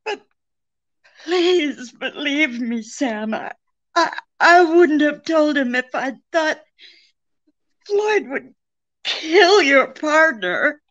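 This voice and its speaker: High, slow, imploring femme fatale. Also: female voice, expressive, a bit bright, dull audio, exaggerated voice. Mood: desperate pleading. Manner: breathless, halting, imploring. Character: pleading, deceitful young femme fatale. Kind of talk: old-time radio drama acting.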